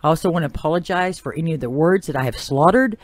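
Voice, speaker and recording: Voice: mid-range voice, quick pace, dry recording. Texas-accented host reading a mystery story. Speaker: podcast host. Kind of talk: reading prepared narration on a mystery topic. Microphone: new desktop microphone.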